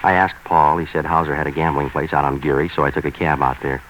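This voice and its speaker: A very low-voiced, hard-boiled American private eye, talking fast in clipped, sardonic lines. It sounds like 1940s radio noir, with faint noise on the old recording. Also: male voice, fairly even intonation, a bit bright, poor audio. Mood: deadpan, sardonic. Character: hard-boiled, cynical, impatient male private detective.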